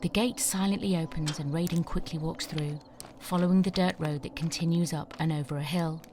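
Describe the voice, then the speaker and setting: Low-pitched woman narrating a screenplay. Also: steady tone, a little fast, a little mellow, a little hiss or hum. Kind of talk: scripted narration of stage directions.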